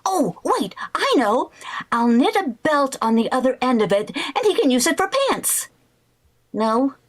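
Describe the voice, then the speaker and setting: A woman playing an excitable, scheming, flighty housewife in a 1940s-style radio comedy, theatrical and full of exclamations. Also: high voice, measured pace, exaggerated voice. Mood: flustered, earnest. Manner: theatrical, exclamatory, mock-innocent. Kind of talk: 1940s radio sitcom acting.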